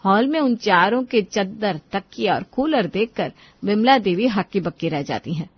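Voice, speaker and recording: A woman's voice: mid-range voice, animated, normal speed, husky, no echo, lo-fi sound. A woman reading scripted narration for a cartoon moral story.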